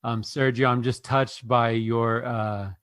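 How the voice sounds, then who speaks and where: A man hosting a casual group conversation, speaking earnestly and thoughtfully with halting phrasing. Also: mid-range voice, flat delivery, a bit bright, no echo, studio quality. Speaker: podcast host.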